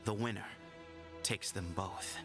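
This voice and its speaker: A man's voice: fairly low pitch, steady tone, creaky, fairly bright tone. Assured young male temple leader over music. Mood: firm, formal. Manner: measured, formal, assured. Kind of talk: video game dramatic dialogue.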